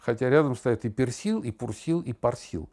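A man's voice: medium pitch, lively intonation, normal speed, a little mellow. Older man, theatrical podcast storyteller. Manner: theatrical, digressive, illustrates with sound imitations and examples. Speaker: podcast host, father talking with his daughter. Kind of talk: casual podcast conversation, storytelling.

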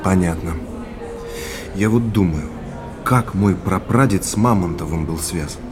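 An actor playing an earnest, inquisitive businessman in a naturalistic audio drama, polite and measured in conversation. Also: low voice, over music. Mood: curious. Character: earnest, inquisitive businessman tracing his family's past.

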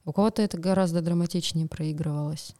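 A woman's voice: low-pitched, steady tone, fast, warm tone. A woman co-hosting a casual podcast, reading tarot cards in a thoughtful way and chiming in with agreement. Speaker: podcast co-host, tarot reader.

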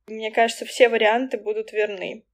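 A young woman, a poet and language teacher, chatting casually in a podcast and telling stories with quick asides. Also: on the high side, little pitch movement.

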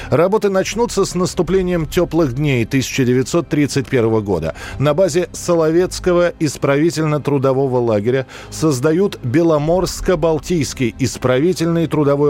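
A man's voice: a little high, darkish tone. Male radio host narrating over music. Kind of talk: scripted documentary narration.

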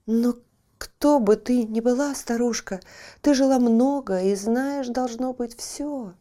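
A woman's voice: fairly high pitch, slow, warm tone, voice pushed up. A woman voicing a cheerful, carefree young princess in a storybook reading, eager and exclaiming. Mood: excited.

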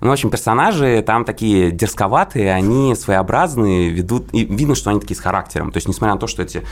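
A man's voice: lowish voice, expressive, quick pace. A man in a casual interview, explaining things in a rambling, hedging way. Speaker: guest, creative partner in an illustration and games project.

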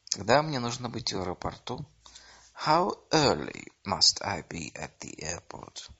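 A man teaching phrases, reading each line and then slowly saying its English version, with a husky, gravelly voice. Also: mid-range voice, bright, forceful tone. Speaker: language teacher. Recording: dull lo-fi recording.

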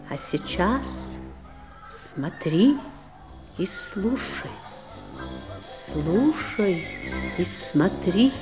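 A woman voicing a wise, solemn royal cobra in a 1960s audio play, speaking in a measured, incantatory manner. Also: fairly low pitch. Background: instrumental music.

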